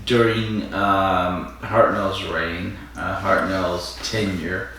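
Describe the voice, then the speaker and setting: A man's voice: low voice, little pitch movement, drawn-out words, fairly bright tone, slight background noise. A man in his fifties chatting casually after a few drinks, rambling, repeating himself and chuckling as he co-hosts. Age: 50s. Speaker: podcast co-host. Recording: echoey room, distant mic, faint ambience.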